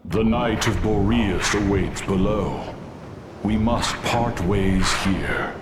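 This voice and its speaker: An actor playing a huge, calm, ancient dragon in a video game, speaking slowly and formally. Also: male voice, over loud music. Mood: commanding. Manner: slow, booming and formal. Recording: echoey with loud rumbling noise.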